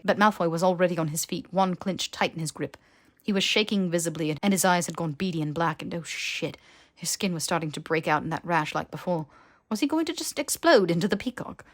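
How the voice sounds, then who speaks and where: A woman narrates a fantasy story with a slightly low voice. Her reading is scripted and expressive, in the manner of an audiobook.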